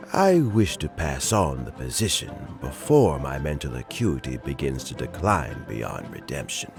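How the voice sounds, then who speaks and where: An actor playing a genial, dignified older statesman in a fantasy game, speaking in courteous, measured, formal phrases. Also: sits low, heavily acted. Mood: weary, reassuring. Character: genial, dignified aging male statesman, frail but sharp. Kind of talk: fantasy video game voice acting. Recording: game music behind the voice. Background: background music.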